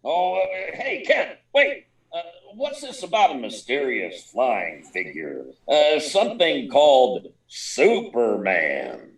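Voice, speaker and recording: A man playing a blustery, excitable newspaper editor with a gravelly voice and sputtering, halting speech, in 1940s radio style. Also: medium pitch, distant mic, full character voice. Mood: curious, gruff. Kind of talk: 1940s radio drama acting. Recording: roomy echo, off the mic.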